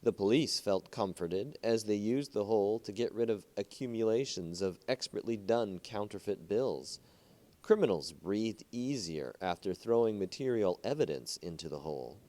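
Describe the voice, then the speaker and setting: Low-voiced man reading a short story. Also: expressive, moderate pace, warm tone. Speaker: amateur reader of short stories.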